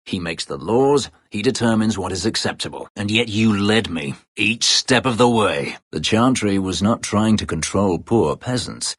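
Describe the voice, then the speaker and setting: A man playing a brooding, bitter escaped-slave elf warrior in a video game, terse, guarded and sardonic, with formal diction and a gravelly low voice. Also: expressive, moderate pace, few pauses, no room sound. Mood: sardonic, cold. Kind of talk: video game character dialogue.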